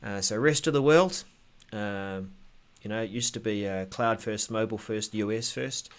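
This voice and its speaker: A man co-hosting a tech show in a casual, halting way, thinking aloud as he explains updates. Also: fairly low pitch, clean tone. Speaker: show host.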